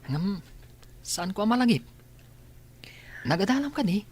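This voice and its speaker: A man playing a sympathetic, inquisitive young businessman in a radio drama, speaking in a gentle, questioning manner. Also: high-pitched, big pitch swings, a little slow, husky, soft background music, exaggerated voice. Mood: curious.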